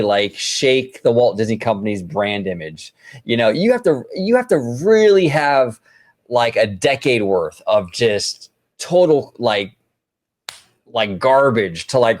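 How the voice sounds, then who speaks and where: A man hosting a casual financial news discussion, informal and buddy-like, repeating himself for emphasis. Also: medium pitch. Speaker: host.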